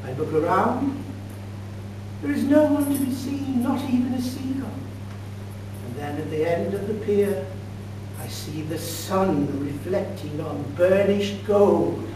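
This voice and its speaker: A man in his sixties telling a comic tall tale to an audience as a contest speaker, in a deliberate, mock-grand deadpan with dramatic pauses. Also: high voice. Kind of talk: theatrical comic storytelling speech to an audience. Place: indoor function room at a club meeting. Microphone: distant camera microphone. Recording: distant echoey room recording with loud noise and hum.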